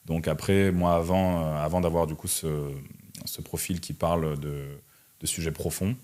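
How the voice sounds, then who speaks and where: A man's voice: low-pitched, steady tone, normal speed, a little mellow. A man in his twenties from Russia, answering interview questions in a casual, slangy, conversational way. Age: 20s. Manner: informal, slangy, frequent "tu vois" tags. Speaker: interview guest, entrepreneur and online content creator.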